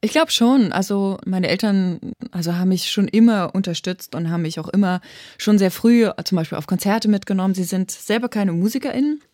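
A woman's voice: mid-range voice, a little fast, dark tone, no echo, studio quality. A woman in her thirties from eastern Germany, a composer talking thoughtfully in an interview and often restarting her sentences. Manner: thoughtful, searching for words with frequent restarts. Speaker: composer and arranger guest. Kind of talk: reflective interview talk.

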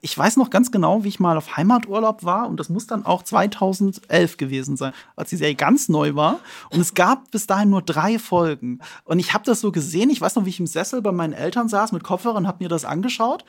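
A man's voice: high-pitched, fairly quick, vocal fry, hardly any pauses, husky. Eager male fan telling stories. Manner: eager, repeats words when excited. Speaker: podcast guest, series expert. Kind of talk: enthusiastic storytelling.

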